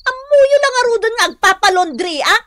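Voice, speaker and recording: Haughty, shrill young woman, high-pitched. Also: animated, normal speed, dry recording. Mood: annoyed, scolding. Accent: Filipino. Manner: sharp, scornful, boastful. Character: haughty, vain young working daughter, scolding and showing off. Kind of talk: Ilocano radio drama acting.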